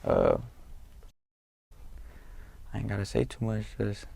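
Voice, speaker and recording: Young man, gravelly, dark tone, dry close sound, relaxed slangy interview talk. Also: fairly low pitch, dry recording. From Norwalk, California. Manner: laid-back street slang, halting with many fillers. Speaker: guest, rapper.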